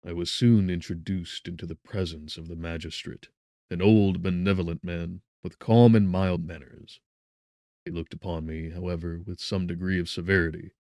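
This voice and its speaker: A man with a very deep voice narrates gothic fiction. His delivery is dramatic, solemn and measured. The recording is dry, with hardly any room around the voice. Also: dry recording. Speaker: audiobook narrator.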